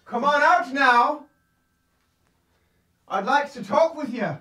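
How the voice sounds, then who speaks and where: A man playing a high-pitched, menacing western outlaw. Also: expressive, takes it slowly, pushed and bright, dry recording, exaggerated voice. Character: mean, menacing, pious male outlaw gunslinger. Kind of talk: western audio drama acting.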